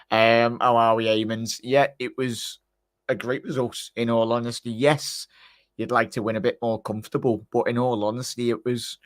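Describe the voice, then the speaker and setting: A young man hosts a live stream, talking in a loose, rambling way full of fillers. His voice sits at an ordinary middle pitch. It is recorded close and dry, with no room sound. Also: no room sound. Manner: chatty, rambling, filler-heavy; greets chat viewers by name. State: croaky voice. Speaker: fan channel live stream host. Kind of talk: casual live stream match reaction.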